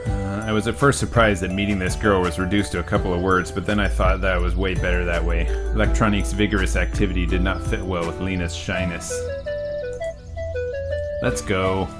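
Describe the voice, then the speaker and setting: A man's voice: low voice, little pitch movement. A young man casually reading a visual novel aloud as a let's-play host, with joking asides. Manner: casual, joking, reads deliberately. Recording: music bed under voice.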